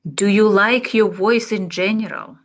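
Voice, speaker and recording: Slow, searching woman. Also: mid-range voice. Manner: slow, searching, with fillers and pauses. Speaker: art therapy instructor. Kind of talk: relaxed reflective class talk.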